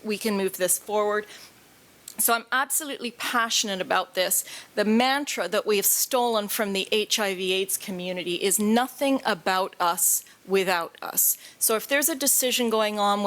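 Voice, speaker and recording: A woman's voice: medium pitch, fairly bright tone. A British-accented woman in her fifties gives a conference talk as a patient advocate. She speaks plainly and earnestly, with passion, and uses everyday comparisons. She is recorded at a table microphone on a stage. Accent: British, living in Canada. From Britain. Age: 50s.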